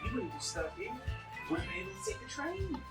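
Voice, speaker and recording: A performer playing a raggedy young male worker in a table reading, plain-spoken, earnest and quietly defiant. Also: mid-range voice, huge pitch swings, fairly quick. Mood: resigned. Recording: echoey, distant mic, music behind.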